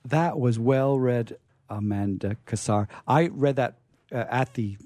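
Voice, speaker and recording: A man hosting a radio show, making effusive, halting, repetitive introductions and comments off the cuff. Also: mid-range voice, darkish tone.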